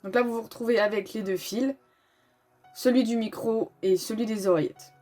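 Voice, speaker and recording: A woman's voice: on the high side, fairly even intonation, moderate pace, mellow. Woman giving a casual tutorial, music behind. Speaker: host of a motorcycle gear tutorial. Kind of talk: casual step-by-step tutorial voice-over.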